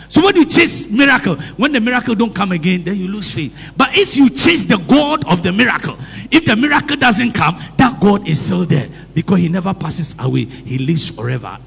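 A man's voice: sits high, creaky, breathy edge. A man preaching a sermon, switching between English and Twi, calling out amens and putting questions to the congregation. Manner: repeats phrases and asks the congregation questions. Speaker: priest. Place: church hall. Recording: long hall reverb.

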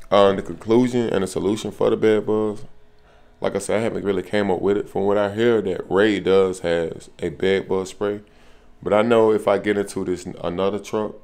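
Casting: American male, thirties, low voice, informal slangy video diary delivery recorded in a small room. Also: creaky, a little mellow, clear, resonant tone. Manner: slangy, repeats "man", "bro" and "you know what I'm saying". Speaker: truck driver vlogger. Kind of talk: casual vlog talk to camera. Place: small furnished hotel room, indoors.

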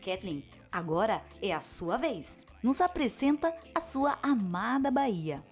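Lady narrator, lively up and down voice, music behind. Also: a little high, unhurried. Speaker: presenter. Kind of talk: scripted narration.

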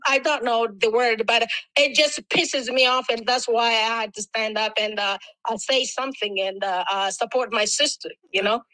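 A woman who was born in Denmark and grew up in Russia, chatting as a guest on a video call, giggly and halting with many fillers. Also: a little high, fairly even intonation, bright, forceful tone, no room sound, poor audio. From born in Denmark, raised in Russia. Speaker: guest content creator. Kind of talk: casual interview chat.

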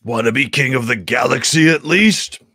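A man playing a brash, theatrical slug crime lord in a comic table read, with gravelly, husky, drawn-out delivery. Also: mid-range voice, sharp tone, dry recording, studio quality. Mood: mock-grand. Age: middle-aged.

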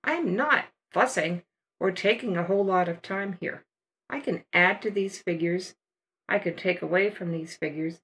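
An older woman narrating a watercolor painting demonstration as a voice-over, explaining each step in a relaxed, unhurried way. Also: lowish voice. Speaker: watercolor art teacher.

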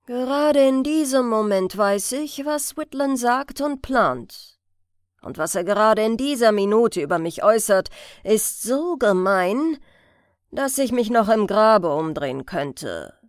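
Frau spielt einen trocken-witzigen Ermittler. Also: female voice, fairly high pitch, animated, a little slow, no room sound. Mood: wry. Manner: dry, wry. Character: dryly witty, self-assured male police detective.

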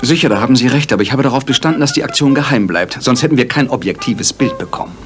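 Ein Sprecher, der in einem Hörspiel einen ruhigen, trocken spottenden Detektiv spielt. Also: male voice, medium pitch, fast talker, lightly acted. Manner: calm, dry and teasing. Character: cool, wry male detective, middle-aged. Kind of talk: German radio drama.

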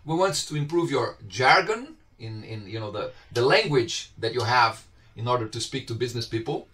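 A man's voice: medium pitch, lively intonation, moderate pace, fairly bright tone. A middle-aged man with a Brazilian Portuguese accent gives an informal online university lecture, conversational and often checking in with his students. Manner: conversational, questions students often, gestures while talking. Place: home office with bookshelves. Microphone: webcam on a video call. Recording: slightly distant video-call audio.

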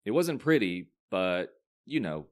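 Man doing a brash, wildly swooping schoolboy ringleader. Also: very animated. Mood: proud bragging. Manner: brash, drawn-out, swinging from low drawl to scream. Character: cocky, fiery, combative teenage schoolboy ringleader.

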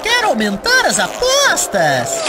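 An actor voicing an excitable cartoon spectator, in exaggerated children's cartoon style. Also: high-pitched, slow, a loud crowd, very noisy, full character voice. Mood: excited. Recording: loud cartoon music underneath.

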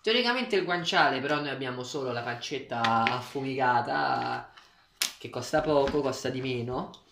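A man's voice: mid-range voice, normal speed. A young man chatting casually as he talks through cooking in a home kitchen, recorded by a camera across the table. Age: young adult. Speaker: co-host cooking. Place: small tiled home kitchen, indoors. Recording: echoey tiled kitchen, clear background noise.

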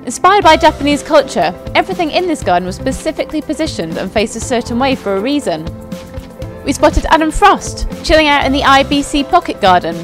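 A young woman giving an upbeat, scripted voice-over narration for a company video. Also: high-pitched. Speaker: company presenter. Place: outdoors at a flower show.

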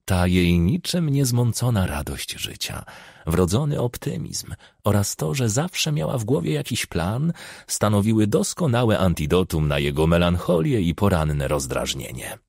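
A man's voice: lowish voice, very animated, unhurried, vocal fry, a little mellow, husky, dry recording, studio quality. A man reading a crime novel aloud as a scripted audiobook narration.

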